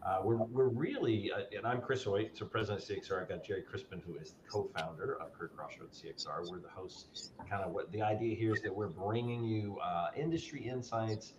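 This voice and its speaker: A man's voice: fairly low pitch, fairly quick, roomy sound, dull audio, noisy background. A man hosting a live podcast, talking with energy and lots of fillers and restarts. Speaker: podcast host.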